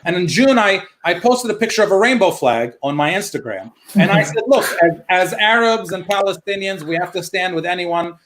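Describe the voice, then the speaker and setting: A young man from the United States does a stand-up set for a live stream, his voice sitting well up in pitch. He tells the story in lively bits and jumps into the lines of the people in it. A faint noise runs under him. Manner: storytelling, acts out his mom's and friend's lines in quick back-and-forth. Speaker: stand-up comedian.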